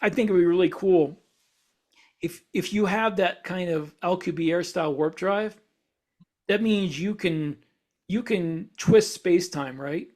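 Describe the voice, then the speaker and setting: A man's voice: high voice, measured pace, a little mellow. A man talking casually as a podcast host, explaining ideas and thinking aloud as he goes. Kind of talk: casual discussion.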